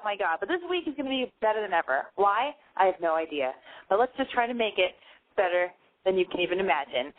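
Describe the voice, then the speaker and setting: A woman hosts a call-in show, talking with a noticeable lisp. She rambles in an excitable, self-mocking way. Her voice comes through thin and tinny, like it's over a phone line. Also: fairly high pitch. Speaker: radio show host. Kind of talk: casual call-in show monologue.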